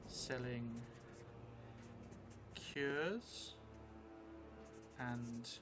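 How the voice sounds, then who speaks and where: A middle-aged man talks his way slowly through a solo role-playing game. He hesitates and leaves thoughts half finished, at an ordinary male pitch. Music plays clearly behind him. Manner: halting, hesitant, trailing off mid-thought. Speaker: solo tabletop role-player streaming a game. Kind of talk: thinking aloud while playing a journaling game.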